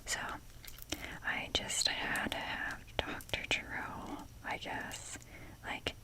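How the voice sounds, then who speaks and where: A woman whispers a casual ASMR ramble at an unhurried, slow pace. She is self-deprecating and swears offhandedly as she drifts along. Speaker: ASMR video creator.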